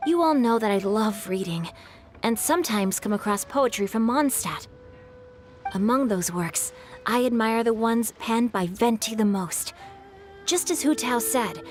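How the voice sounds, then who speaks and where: Game role: earnest, well-read teen boy female actor, lightly high voice, articulate, mild, faint music underneath. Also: lightly acted. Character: polite, bookish teenage boy, earnest and evasive. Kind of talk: video game character dialogue.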